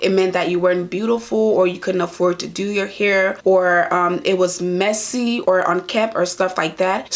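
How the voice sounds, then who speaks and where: Young woman from liberia chatting to camera, earnest and open, mid pitch, small room. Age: young adult. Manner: earnest, talks straight to viewers. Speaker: vlogger, nurse. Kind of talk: casual Q&A chat to camera.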